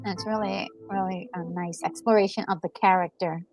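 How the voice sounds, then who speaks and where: A woman gaming co-host talking casually and enthusiastically, thinking aloud in a halting way as she reacts to a game. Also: fairly low pitch, steady tone, a little mellow, soft background music, poor audio. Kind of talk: casual reaction chat about a video game.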